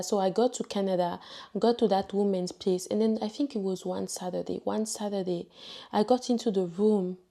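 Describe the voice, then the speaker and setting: A young woman from Ivory Coast with a French accent, telling a personal faith testimony in a halting way, with many fillers and restarts. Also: medium pitch, mellow. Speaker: vlogger sharing a testimony.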